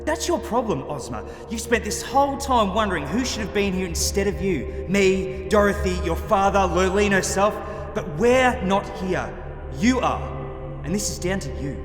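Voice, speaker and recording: A stern, exhorting spirit guide with a high, animated voice. It carries through a long reverb in a fantasy radio drama. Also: male voice, normal speed. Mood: urgent. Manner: emphatic, exhorting. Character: stern, urgent ghostly mentor rallying a princess. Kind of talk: audio drama acting. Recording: echoey reverberant hall, faint music.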